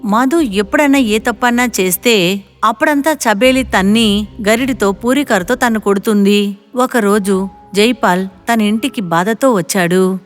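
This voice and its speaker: A woman's voice: medium pitch, measured pace, soft background music. A woman narrator reading a scripted moral story.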